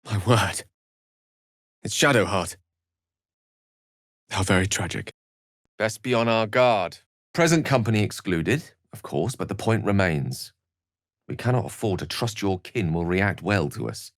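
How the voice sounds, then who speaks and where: Casting: learned, earnest fantasy game wizard; theatrical, wordy and formal delivery with animated pitch, recorded dry. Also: male voice, unhurried, pauses often, no echo. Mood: grave. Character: learned, courtly male human wizard, eloquent and earnest. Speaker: video game voice actor. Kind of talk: scripted fantasy game dialogue.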